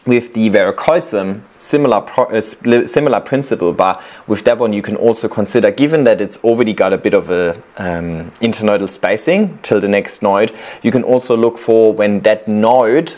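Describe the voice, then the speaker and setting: A man with a somewhat low voice talks through plant-care advice in a loose, chatty way. He drifts between points with small fillers and restarts. It sounds like an easygoing creator commenting on viewers' clips. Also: moderate pace. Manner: relaxed, chatty, giving practical tips with fillers. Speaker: plant content creator reacting to viewer videos. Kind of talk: casual explanatory commentary.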